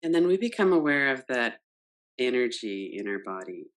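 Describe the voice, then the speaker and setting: A woman leading a guided yoga nidra meditation, gentle and unhurried, guiding with soft questions. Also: very deep voice, sing-song, poor audio. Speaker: meditation teacher.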